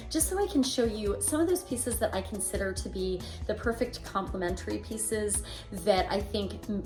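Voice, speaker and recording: A woman hosts a relaxed style vlog from her home, her voice raspy and hoarse from a week-long cold. She talks in a chatty, upbeat way straight to her viewers, at a mid pitch, with faint music playing underneath. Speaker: style vlogger and show host. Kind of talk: casual friendly wardrobe vlog.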